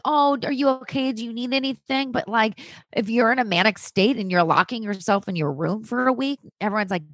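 A woman's voice: fairly high pitch, no echo. Candid woman talking in a dry room. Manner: candid and animated, swears freely. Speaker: interview guest, actress. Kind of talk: casual podcast conversation.

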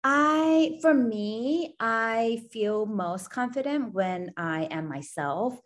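A woman from Thailand, a brand founder and podcast guest, who talks in an earnest, steady way and fills her speech with "you know.". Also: fairly high pitch, slow. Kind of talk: podcast interview.

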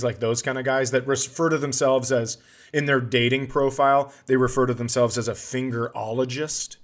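A middle-aged man with a mid-pitched voice talks as a comedy podcast host. He tells stories in a casual, conversational way, swearing freely and acting out his own outbursts. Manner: profane, animated when acting out his outbursts.

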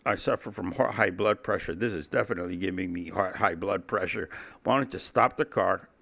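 A man's voice: sits low, fairly quick, vocal fry, fairly bright tone. A middle-aged man, an interview guest, telling a first-person story casually and acting out the dialogue as he goes. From Cuba. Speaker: interview guest, former drug trafficker.

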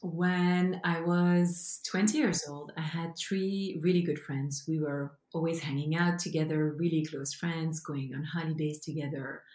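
Belgian French-accented woman, low voice, animated storyteller, roomy echo. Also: a little slow, few pauses. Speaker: guest. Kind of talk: storytelling interview.

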